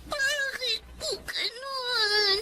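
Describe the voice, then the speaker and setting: A woman's voice: very high voice, very slow, very noisy, full character voice. A woman voicing a sad, polite little girl in a Filipino radio drama, tearful and childlike with a Filipino accent. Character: sad, polite sickly little girl missing her father.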